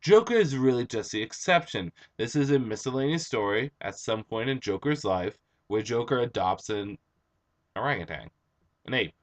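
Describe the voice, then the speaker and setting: A young man with a raspy, hoarse voice, chatting casually and eagerly as a comic book reviewer, recorded on a computer webcam. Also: medium pitch, animated, normal speed. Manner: enthusiastic, chatty, with frequent um and uh. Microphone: computer webcam mic.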